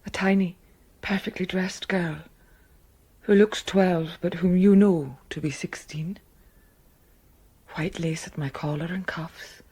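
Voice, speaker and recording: An actress playing a proud young gentlewoman's sardonic inner voice in an audio drama, breathy and half-whispered, deliberate and intimate. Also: on the low side, steady tone, no room sound. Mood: proud, guarded. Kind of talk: audio drama interior monologue.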